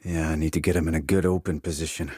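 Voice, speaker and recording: An actor playing a terse, dry, confident military sniper in a video game, with a husky voice and clipped, controlled reports. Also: male voice, very deep voice, flat delivery, fast talker, dark tone, no room sound. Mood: businesslike. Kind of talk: scripted military game dialogue.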